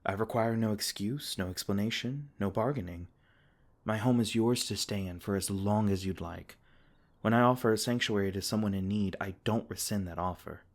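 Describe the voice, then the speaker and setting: Brief: a low-voiced, tender fae king played by a man, soothing and unhurried in his kindness. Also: close to an everyday voice. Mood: gentle. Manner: soft-spoken, reassuring, with small laughs. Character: gentle, protective male fae king. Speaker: ASMR roleplay performer. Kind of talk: ASMR comfort roleplay.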